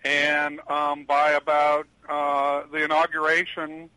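An older man with a loud, bright, forceful voice, talking on a headset as a radio guest. He rambles with plenty of ums and uhs. The sound is dry and close. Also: a little high, monotone, takes it slowly, clean tone, dry recording, rough recording. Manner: loud voice, rambling with many fillers. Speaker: guest, retired attorney. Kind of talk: radio interview answers.

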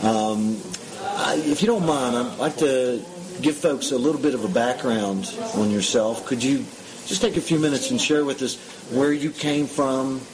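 A male radio host chats with a guest at a restaurant table, his voice sitting at an ordinary middle pitch. He talks in a halting way, full of fillers and restarts, with clear diners' chatter behind him. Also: poor audio. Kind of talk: casual interview chat. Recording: busy restaurant background. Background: restaurant crowd chatter.

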